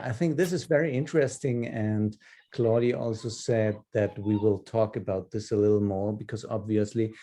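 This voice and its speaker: A man in his fifties with an Austrian accent, a native German speaker, telling personal stories haltingly in an online group session. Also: mid-range voice, mellow. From Upper Austria. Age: 50s. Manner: hesitant, halting delivery with repeated words. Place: video call.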